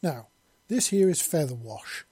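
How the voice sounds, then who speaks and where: A man's voice: fairly high pitch, animated, a little slow, warm tone, breathy edge, no room sound, voice pushed up. Man voicing a formal, imperious female bird creature. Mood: commanding. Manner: formal, precise, commanding. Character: imperious, curious female bird-creature mistress, commanding and innocent.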